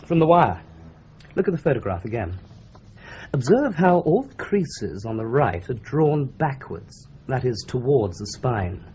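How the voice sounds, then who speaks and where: A gleeful, teasing police doctor. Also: male voice, medium pitch, unhurried, slightly rough, full character voice. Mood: explaining. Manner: lively, teasing, demonstrative. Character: cheerful, relishing male police doctor. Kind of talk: radio drama acting. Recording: slightly dull old radio recording.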